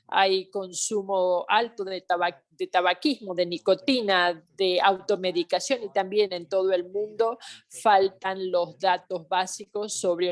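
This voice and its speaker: A woman simultaneously interpreting a health training webinar, speaking in halting phrases with pauses and self-corrections. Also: fairly low pitch. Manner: halting delivery with pauses and restarts.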